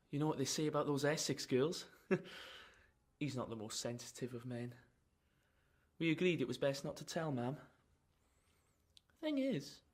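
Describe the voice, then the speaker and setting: Lively, husky young Welsh lad. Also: male voice, fairly high pitch. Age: young adult. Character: cheeky, chatty young Welsh son, adopted quadruplet. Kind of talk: radio drama monologue.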